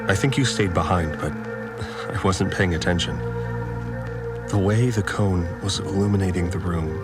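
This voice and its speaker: An actor playing an earnest, devout farmer, reflecting haltingly and with wonder in an audio drama monologue. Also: male voice, low voice. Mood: awed, serene. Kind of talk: dramatic first-person monologue. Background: music.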